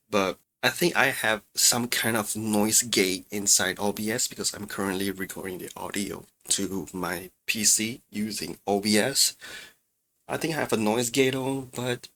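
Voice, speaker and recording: Low-voiced man giving a casual tutorial. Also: sharp tone. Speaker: audio tutorial presenter. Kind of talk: casual explanatory tutorial talk. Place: small untreated home room with furniture. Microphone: close studio microphones, condenser then dynamic.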